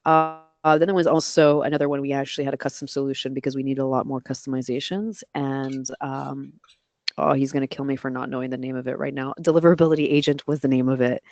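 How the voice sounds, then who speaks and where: A woman's voice: rumbling low, flat delivery, fast, a little mellow, no echo. A woman with a voice suited to radio, answering questions as a guest expert in a live webinar and explaining her methods step by step. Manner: practical, explains in steps with self-corrections.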